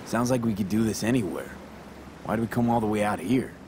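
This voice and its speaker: A cocky young male street mercenary played by an actor in a cinematic video game, with a lowish voice. He speaks in wry, clipped slang over clear ambient water noise. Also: brisk, lightly acted. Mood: curious.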